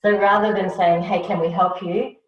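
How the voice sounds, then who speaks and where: A woman's voice: mid-range voice, steady tone, moderate pace, crowd noise. A woman interviewing a guest on a video call. She sums up the guest's answers and affirms them. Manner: summarizes answers back, affirming. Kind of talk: supportive interview questions. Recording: echoey, dull video-call audio.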